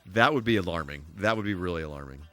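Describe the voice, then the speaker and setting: A man's voice: deep voice, lively intonation, quick pace, a bit bright. A man live streaming a video game, chatting casually with viewers and throwing in playful exclamations and sing-song asides. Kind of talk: casual live gaming commentary and chat with viewers. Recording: music behind. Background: music.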